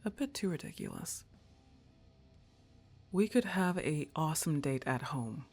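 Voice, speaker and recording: A woman acting as a young goth roommate in an intimate ASMR roleplay, laid-back and affectionately teasing. She talks slowly, with a lot of creaky fry in her voice, in a casual conversational way. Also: sits low, wildly swooping pitch, darkish tone, soft background music, full character voice. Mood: soft, teasing, affectionate.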